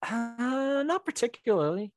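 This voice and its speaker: A man chatting casually as an interview guest, animated and rambling, often correcting himself mid-sentence. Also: very high voice, measured pace, no room sound. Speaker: writer-director of audio dramas, podcast guest.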